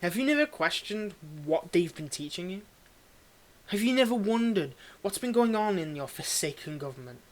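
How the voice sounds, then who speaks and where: A man acts out a domineering villain in an intimate audio drama, his voice pitched a little above the usual male range. He is cold and condescending with a secretly fond streak, delivering his monologue theatrically, as if right up against one listener. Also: expressive, normal speed. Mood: indignant ranting. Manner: condescending, theatrical, swinging between ranting and hushed murmurs. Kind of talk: scripted audio roleplay monologue, intimate address.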